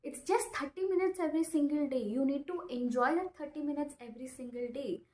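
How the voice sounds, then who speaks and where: Young indian lady, english is her second language, giving an informal lesson, emphatic, repeats herself, voice a bit high, roomy echo. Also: brisk, darkish tone. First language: not English. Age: 20s. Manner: emphatic, repeats phrases, checks in with "okay" and "right". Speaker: English-learning video presenter. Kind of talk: informal instructional talk to camera. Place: small bare indoor room. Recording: echoey bare room.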